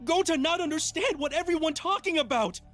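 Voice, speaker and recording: A player voicing a big, gentle teenage boy who talks in broken third-person English while reading game dialogue aloud. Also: very high voice, lively intonation, fairly quick, music in the background. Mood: confused.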